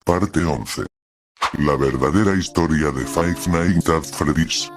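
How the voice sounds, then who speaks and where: A man's voice: rumbling low, slow. A man narrating scripted creepypasta stories and announcing their titles. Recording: clear music behind the voice. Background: background music.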